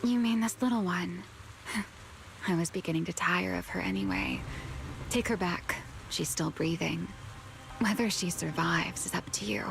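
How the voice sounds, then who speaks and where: A woman voicing a cool, haughty wanted criminal in an anime game, teasing and disdainful in an unhurried way. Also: on the low side, gravelly, a bit bright, a little hiss or hum, rough recording.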